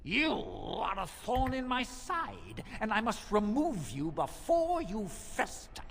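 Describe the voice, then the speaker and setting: An actor playing a pompous, mock-polite mage turned abomination, a theatrical fantasy game villain, in long reverb. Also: male voice, sits high, very animated, slow, creaky, fairly bright tone, exaggerated voice. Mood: scornful.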